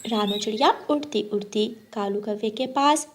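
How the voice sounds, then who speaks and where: A woman's voice: mid-range voice, normal speed. A woman delivering scripted narration for a children's story.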